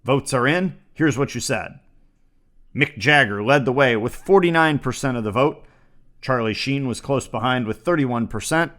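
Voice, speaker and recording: A man's voice: mid-range voice, lively intonation, moderate pace, a bit bright. A man hosting a comedy show, reading scripted parody news in a dry, mock-serious newscaster manner. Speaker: comedy podcast host.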